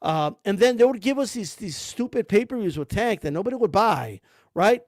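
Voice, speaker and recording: A man's voice: high voice, expressive, normal speed, darkish tone, no echo, clean studio sound. A man giving blunt, rambling commentary about boxing, full of slang and cursing. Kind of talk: casual ranting commentary.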